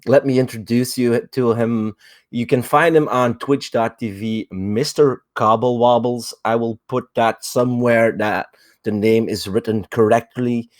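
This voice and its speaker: A man hosting a podcast who interviews a guest in a slow, friendly manner, lavish with praise. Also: mid-range voice, fairly even intonation, dry recording, clean studio sound. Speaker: podcast host.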